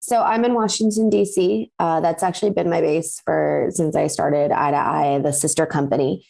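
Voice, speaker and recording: A woman's voice: low voice, steady tone, normal speed, vocal fry, a little mellow. A woman with an American accent, a venture capital partner answering interview questions fluently, with frequent ums and restarts. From Pakistan.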